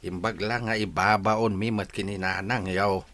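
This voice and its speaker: A man's voice: on the low side, steady tone, moderate pace, a bit bright, natural voice. A man playing a concerned old grandfather in a radio drama, with a homely, wistful manner. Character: concerned old grandfather, fond of his family.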